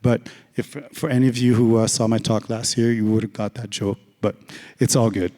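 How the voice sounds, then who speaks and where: A hoarse man with a raspy voice giving a casual, joking conference talk, full of fillers. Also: on the low side, flat delivery, moderate pace, warm tone. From Canada. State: hoarse, lost voice. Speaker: conference speaker. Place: large conference hall. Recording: long hall reverb.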